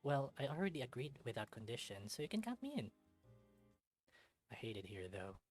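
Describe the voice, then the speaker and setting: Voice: medium pitch, very animated, brisk, darkish tone, faint music behind. A performer voicing a cheeky, teasing, snack-loving young male student in a visual novel. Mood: grudging.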